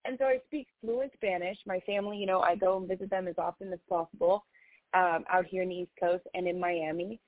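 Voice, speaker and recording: A woman's voice: lowish voice, steady tone, a little fast, dry recording. A female singer-songwriter chatting in an interview, earnest and heartfelt, with lots of "you know" fillers. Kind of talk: casual interview chat. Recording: tinny phone-like line, dry.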